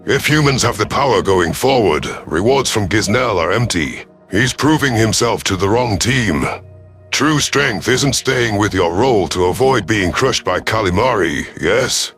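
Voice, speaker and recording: A man narrating a sci-fi story in character as a stern, calculating alien commander, formal and deliberate, over music. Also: on the low side, lively intonation, fairly bright tone.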